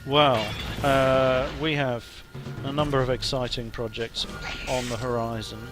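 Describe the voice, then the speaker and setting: A man, a game developer, chatting hesitantly on a live stream while playing, somewhat hoarse as he loses his voice. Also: mid-range voice, flat delivery, a little slow. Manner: hesitant, many ums and restarts. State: losing his voice, coughing. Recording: music playing behind.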